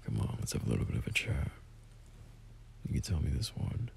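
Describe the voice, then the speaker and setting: A man playing a gentle, teasing young boyfriend in an intimate ASMR roleplay, speaking softly and breathily, often half-whispering in a hesitant, soothing way. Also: rumbling low, big pitch swings, a little fast, gravelly, heavily acted. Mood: reassuring, coaxing. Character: gentle, teasing young boyfriend comforting his scared partner. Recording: faint background hiss.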